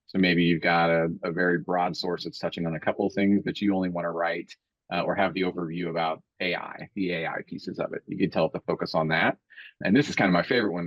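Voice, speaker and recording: Man giving a step-by-step walkthrough, very low pitch, dull dry call sound. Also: breathy edge, dry recording. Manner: explains step by step, with frequent "right?" and "you know". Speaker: webinar presenter. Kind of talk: live demo walkthrough.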